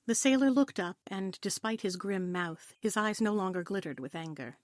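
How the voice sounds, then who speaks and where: A woman narrates a scripted adventure story with expression. Her voice sits at a middle pitch, captured dry and close. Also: moderate pace, dry recording. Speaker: narrator of audio play.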